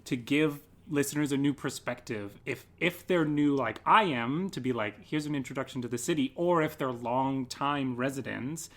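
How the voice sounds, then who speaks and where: A man's voice: medium pitch, moderate pace, hardly any pauses. A man speaking casually as an interview guest on a podcast. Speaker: podcast guest, theatre maker.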